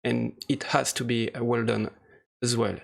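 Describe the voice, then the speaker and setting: Gravelly-voiced man giving a halting tutorial. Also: medium pitch, flat delivery. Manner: hesitant, halting delivery in short phrases. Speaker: software tutorial presenter. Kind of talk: unscripted screen-recorded tutorial walkthrough. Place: voice-over for a screen recording.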